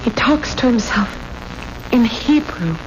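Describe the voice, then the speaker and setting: Slow, frightened granddaughter. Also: female voice, fairly high pitch, expressive. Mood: frightened, awed. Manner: halting, frightened. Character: fearful, awed granddaughter tending an old man. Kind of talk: 1970s radio drama acting. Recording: muffled, noisy old radio recording.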